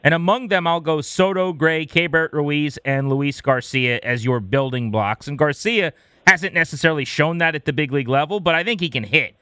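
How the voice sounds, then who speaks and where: A man's voice: a little high, normal speed, sharp tone, clean tone, no room sound, clean studio sound. A man hosting sports talk radio, posing questions and laying out his analysis.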